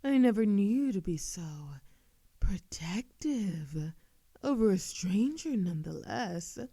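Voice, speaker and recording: A woman playing a composed, wryly teasing old-world vampire in an audio roleplay, speaking in a soft, measured, knowing way. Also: mid-range voice, expressive, husky, dry recording, clean studio sound, exaggerated voice. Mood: calm, teasing. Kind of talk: scripted ASMR audio roleplay acting.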